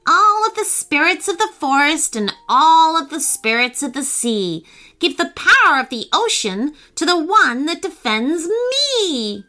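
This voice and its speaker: A woman's voice: high-pitched, very animated, slow, hardly any pauses, bright, forceful tone, pitched above the performer's own voice, full character voice. A woman voicing a spiteful little monkey villain who screeches, groans and rants in a children's story. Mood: commanding chant.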